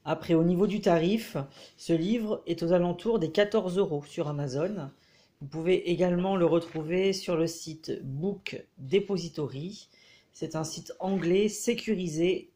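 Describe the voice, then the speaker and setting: A man with a head cold giving a casual, hesitant review of a book as he leafs through it, pausing often. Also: a little high, dark tone. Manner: hesitant, frequent filler pauses.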